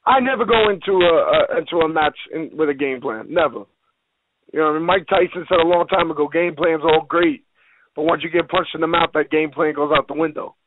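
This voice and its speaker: A man's voice: a little high, fairly quick, no room sound. A man giving a casual interview as a professional wrestler, blunt, streetwise and full of slang, over a phone line. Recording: hissy, noisy, tinny phone line.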